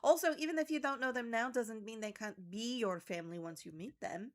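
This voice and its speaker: A woman's voice: on the high side, fairly quick, lightly acted. A woman playing a determined, caring female adventurer in a tabletop game, speaking in a pointed, earnest way. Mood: pointed, chiding.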